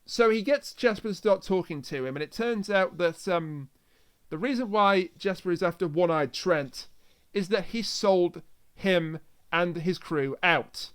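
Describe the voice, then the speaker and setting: A man co-hosting a podcast, casually recapping an episode and bantering, halting a little as he explains. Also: high-pitched, fairly bright tone, clear, resonant tone. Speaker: podcast co-host.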